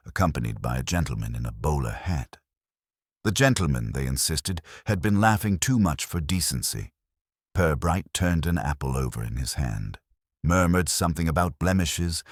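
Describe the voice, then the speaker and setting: Very deep-voiced man narrating a mystery story. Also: dry recording, studio quality.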